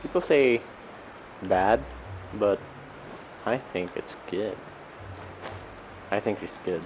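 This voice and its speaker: A man's voice: fairly low pitch, takes it slowly, background noise. A man livestreaming a video game, muttering short casual reactions to himself as he plays. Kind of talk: casual gameplay commentary. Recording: game music playing behind voice. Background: video game music.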